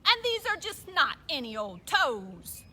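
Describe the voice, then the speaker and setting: Woman as a slow, haughty hawk. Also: high-pitched, very animated, pushed and bright, heavily acted. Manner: slow, stately, self-admiring. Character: proud, haughty red-tailed hawk. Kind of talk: children's puppet show. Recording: noisy live recording.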